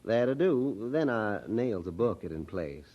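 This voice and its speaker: An actor playing an amiable, plain-spoken country husband with a rural Southern US accent and folksy dialect in an audio drama. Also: male voice, lowish voice, expressive, measured pace, muffled recording. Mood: proud.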